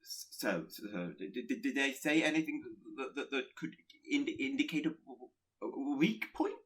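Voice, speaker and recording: A man playing a nervous, eager spirit of pestilence in a radio play, timid and halting, with a heavy stutter. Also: mid-range voice, animated, a bit bright. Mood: anxious. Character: nervous, eager male spirit of pestilence who delights in corruption.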